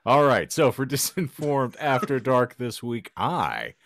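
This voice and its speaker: A man's voice: medium pitch, very animated, unhurried, a bit bright, dry recording. A man hosting a casual comedy podcast, joking in mock-grandiose, wordy phrases. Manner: jokey, mock-grandiose wordplay. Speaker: podcast host.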